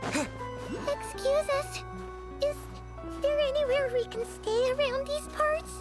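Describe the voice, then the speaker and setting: A woman voicing a tiny, pert fairy companion in a video game, with a squeaky, childlike voice. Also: slow, over music. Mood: polite.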